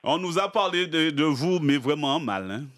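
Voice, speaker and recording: A man's voice: a little high, expressive, moderate pace, a bit bright. A man co-hosting live radio in playful banter, mixing Creole into his French. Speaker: radio co-host.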